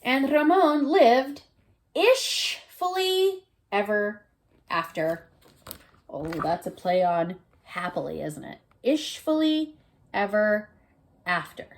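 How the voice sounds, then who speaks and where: Female primary teacher for story time: slow, very lively delivery, savors words, invites listeners to think. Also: medium pitch. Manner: gentle questioning, prompting listeners to think. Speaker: teacher reading a picture book aloud. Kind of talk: expressive children's read-aloud with asides to listeners.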